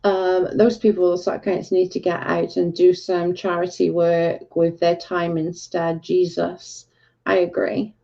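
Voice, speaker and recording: A woman's voice: on the low side, little pitch movement, a little slow, creaky, dark tone, lo-fi sound. A Welsh woman hosting a casual livestream, talking bluntly and with exasperation to her chat. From Wales. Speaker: livestream host.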